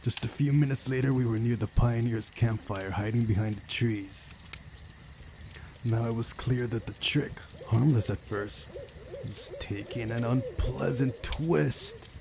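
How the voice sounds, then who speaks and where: A man's voice: mid-range voice, unhurried, vocal fry, darkish tone, a little hiss or hum. A man reading a visual novel's first-person story aloud as the narrator of a playthrough. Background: music.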